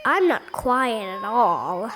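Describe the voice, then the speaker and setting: Small cheeky ape child, high voice, slow delivery, curious, blunt, animated storybook. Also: female voice, full character voice. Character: little young ape, curious, loyal and cheeky.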